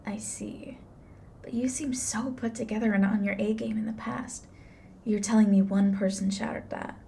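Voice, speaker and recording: A woman voices a female grim reaper for an audio roleplay, relaxed and dry, with some fry in the voice. She is blunt and flippant, prying without much sympathy. Faint noise sits behind her. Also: medium pitch, moderate pace, close to an everyday voice. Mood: curious, tactless. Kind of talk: ASMR roleplay acting.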